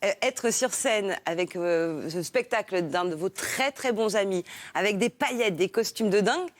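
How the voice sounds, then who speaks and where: A woman's voice: mid-range voice, lively intonation, normal speed. A woman interviewing a guest on a television show, cheerful and teasing. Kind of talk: upbeat TV interview.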